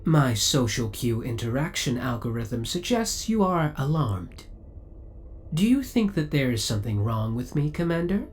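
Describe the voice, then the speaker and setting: A man's voice: mid-range voice, very animated, normal speed, slight background noise, natural voice. A man playing a devoted, possessive spaceship AI in an ASMR audio roleplay, speaking in a formal, courteous and precise way. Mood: politely defensive. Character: devoted, possessive male spaceship AI, politely obsessive.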